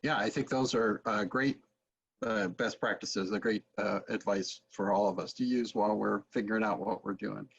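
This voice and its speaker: A man with a slightly low, husky voice hosts a casual online discussion. The computer-mic sound is a bit dull and lo-fi. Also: steady tone, moderate pace. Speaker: session host. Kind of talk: casual video-call discussion. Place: home study, indoors. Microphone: computer microphone on video call. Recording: dull, lo-fi video-call sound.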